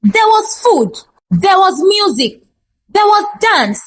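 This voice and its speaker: High, animated woman storyteller. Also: takes it slowly. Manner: expressive, theatrical storytelling. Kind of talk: scripted folktale narration, dramatic and humorous.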